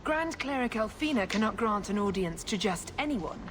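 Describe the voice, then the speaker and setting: A haughty, scheming Mother of the church in a fantasy game, acted by a woman. Her mid-pitched voice moves with lively, condescending inflection, formal and measured, over clear background noise. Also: lightly acted. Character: haughty, scheming high-ranking female cleric. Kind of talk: fantasy video game voice acting.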